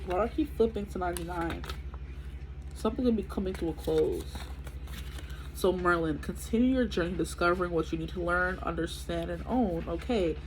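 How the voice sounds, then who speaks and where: A woman's voice: fairly low pitch, brisk, slight background noise, low-quality recording. A woman in her twenties giving a chatty, rambling tarot reading with a stuffy nose from allergies. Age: 20s. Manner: chatty, rambling, many fillers. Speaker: tarot reader. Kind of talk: casual tarot reading to camera.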